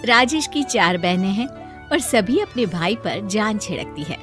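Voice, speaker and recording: A woman's voice: medium pitch, animated, unhurried, music in the background. A woman narrating a scripted moral story as a storyteller.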